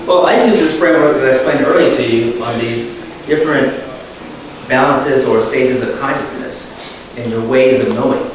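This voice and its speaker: A man giving a public talk, answering an audience question in a thoughtful, measured way, often restarting his phrases. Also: mid-range voice, slight background noise. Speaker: guest speaker. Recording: echoey hall taped from far off.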